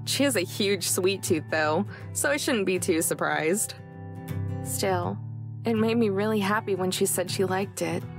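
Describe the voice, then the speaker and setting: Visual novel role: heartbroken young woman on a road trip, played by an actress. Gravelly fry, wistful and emotionally raw, over music. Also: mid-range voice, measured pace, close to an everyday voice. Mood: wistful, fond. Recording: background music under voice.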